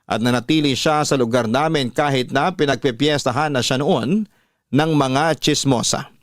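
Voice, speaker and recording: A man with a Filipino accent narrating a first-person story in a measured way as a radio drama host. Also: medium pitch, dry recording, studio quality. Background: music.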